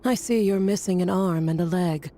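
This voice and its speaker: An actress playing a cool, shrewd alchemist scholar in a video game, speaking in a dry, barbed, articulate way. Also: female voice, fairly low pitch, moderate pace, warm tone, faint music behind, natural voice. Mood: dry mockery.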